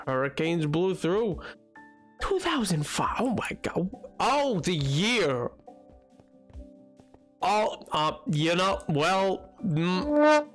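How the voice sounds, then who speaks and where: A man streaming a game, chatting with his viewers in a rambling, excitable way, his voice hoarse from a cold. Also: on the high side. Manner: rambling, excitable, jumps between topics. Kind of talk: casual chat with stream chat while gaming.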